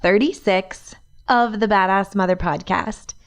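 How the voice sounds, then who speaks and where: A woman hosting her own podcast, her voice hoarse from a cold, chatting in an upbeat way and laughing often. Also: mid-range voice, measured pace. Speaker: podcast host, life and business coach. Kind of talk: solo podcast talk.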